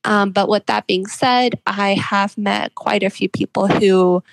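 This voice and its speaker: A woman author answering interview questions in an earnest, measured, explanatory way. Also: mid-range voice, steady tone, creaky, no room sound. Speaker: guest author being interviewed. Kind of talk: reflective interview conversation.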